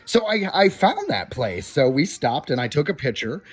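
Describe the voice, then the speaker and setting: A man's voice: fairly high pitch, big pitch swings, moderate pace, breathy edge. A man hosting a podcast in a hotel room, chatting informally to listeners and running through updates in a casual way. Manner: informal, addressing listeners directly as guys. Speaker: podcast host. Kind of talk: casual solo podcast chat with news updates. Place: hotel room while on vacation.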